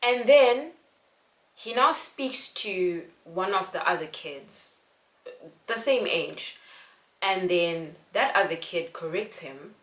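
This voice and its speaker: A young woman from Zimbabwe, telling a personal story to camera in an earnest, unhurried way. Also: fairly low pitch, lively intonation. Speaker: vlogger. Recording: echoey room.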